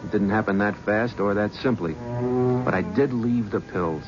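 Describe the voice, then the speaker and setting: An actor playing a cynical young agency gofer. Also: male voice, on the low side, normal speed, music in the background, natural voice. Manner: brusque, flippant, wisecracking. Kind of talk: 1970s radio drama acting with narration. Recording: muffled old radio broadcast, faint hiss.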